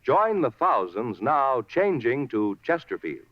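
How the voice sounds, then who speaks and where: A man's voice: medium pitch, sing-song, slow, no echo. A man announcing a 1950s radio commercial. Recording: muffled 1950s radio recording.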